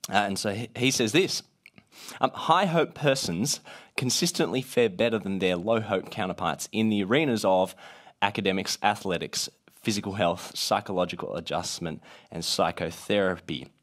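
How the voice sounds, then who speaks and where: A man with a gravelly voice from being a bit sick, leading a casual talk to a group with many "uh" fillers. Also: fairly low pitch, normal speed. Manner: many "uh" fillers, lively rising emphasis.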